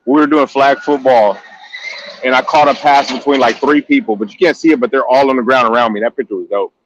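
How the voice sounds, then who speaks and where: A man's voice: medium pitch, fast talker, muffled recording, slight background noise. Laughing man in his mid thirties. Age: 30s. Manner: laughs often, playful teasing. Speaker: podcast panelist. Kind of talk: casual banter.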